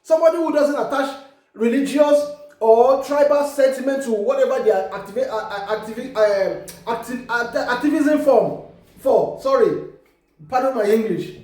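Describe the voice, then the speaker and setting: A Yoruba-accented Nigerian woman with a high voice argues her point in English, pressing hard and often stumbling over repeated words. Her talk rings around a strongly echoing room. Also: moderate pace, warm tone. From Ekiti, Nigeria. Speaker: online commentator. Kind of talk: casual opinion monologue. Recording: very echoey room.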